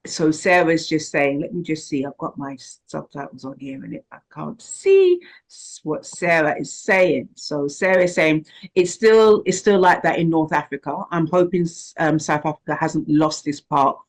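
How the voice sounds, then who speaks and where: A woman's voice: low-pitched, normal speed. A woman from the Caribbean moderating a casual online group discussion, thoughtful and prone to restarting her sentences. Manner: thoughtful, with frequent restarts and repeated words. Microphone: video call.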